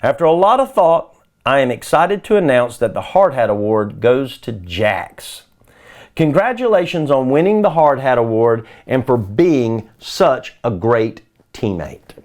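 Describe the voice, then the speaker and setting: A man's voice: medium pitch, sing-song, measured pace, darkish tone. A man voicing an encouraging, instructive basketball coach in a children's storybook. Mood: celebratory.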